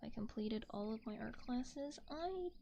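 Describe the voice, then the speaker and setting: A young adult woman from the United States chatting casually in a gentle, hushed ASMR voice that often nears a whisper, close to a binaural microphone. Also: a little high, lively intonation, measured pace, creaky, a little hiss or hum. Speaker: VTuber streamer.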